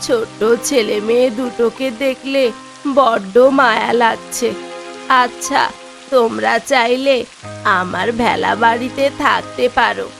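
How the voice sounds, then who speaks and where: A woman's voice: a little high, a little slow, vocal fry. A woman voicing a sweet-talking, caring old granny in a cartoon fairy tale. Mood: caring, coaxing. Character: kindly-seeming old granny, a witch in disguise. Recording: loud music under the voice.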